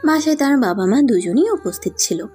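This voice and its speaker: A woman's voice: high voice, animated, moderate pace, dark tone, breathy edge. A woman narrating a scripted audio story. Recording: music under the voice.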